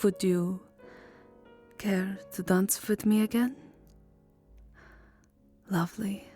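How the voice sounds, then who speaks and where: A woman playing a lovelorn, melancholy vampire countess in an ASMR roleplay, speaking softly, formally and hesitantly, often near a whisper. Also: mid-range voice, fairly even intonation, slow, faint music behind, lightly acted. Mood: tender, romantic. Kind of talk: ASMR roleplay voice acting, second-person romance.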